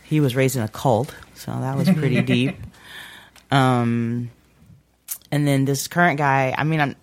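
A woman's voice: a bass voice, fairly even intonation, moderate pace. A woman chatting casually on a panel show, informal and candid, sometimes hesitant.

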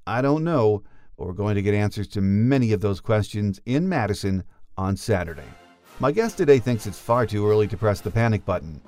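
Male podcast host, lowish pitch, scripted sports talk, faint music. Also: lively intonation, a little fast, a little mellow, no echo. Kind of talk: scripted show introduction and interview.

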